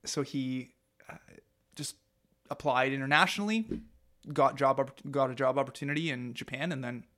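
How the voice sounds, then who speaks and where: A man's voice: medium pitch, normal speed, pushed and bright. A young man, a podcast guest, telling his life story in a loose, rambling way with many fillers. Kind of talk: casual interview.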